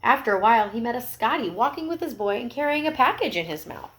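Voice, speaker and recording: A woman reading a picture book aloud to young children, expressive, with gentle explanatory asides. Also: on the high side, fast.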